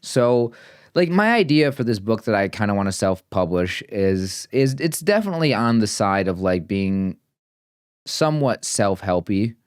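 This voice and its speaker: A man's voice: lowish voice, big pitch swings, moderate pace, clean tone, dry recording, clean studio sound. Earnest rambling man in his late twenties. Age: 20s. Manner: rambling, earnest, many fillers and restarts. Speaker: vlogger. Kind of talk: casual reflective vlog talk.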